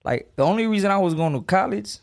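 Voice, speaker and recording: A man's voice: high voice, animated, quick pace, creaky, darkish tone. A man in his twenties from Los Angeles, a boxer talking casually in an interview, full of slang and emphatic asides. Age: 20s. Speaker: boxer guest.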